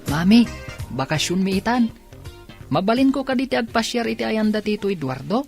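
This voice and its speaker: A man's voice: high voice, sing-song, brisk, creaky, soft background music, heavily acted. A man playing an eager, coaxing schoolboy son in an audio drama.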